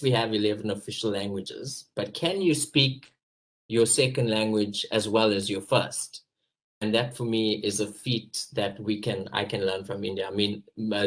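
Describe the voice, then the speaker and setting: A man's voice: lowish voice, fairly bright tone. Earnest South African man on video call. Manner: earnest, enthusiastic. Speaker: actor. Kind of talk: casual personal recollection.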